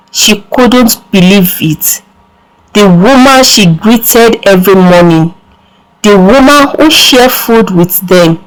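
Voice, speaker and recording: A woman narrates a scripted folktale at a very slow pace. Her pitch sits in the middle range, and her delivery is measured and solemn, like careful storytelling. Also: rough recording.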